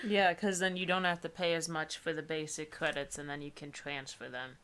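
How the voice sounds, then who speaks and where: A woman's voice: low voice, level tone, a little fast, fairly bright tone, clear, resonant tone. A woman co-hosting a comedic book show with casual, blunt and sardonic commentary.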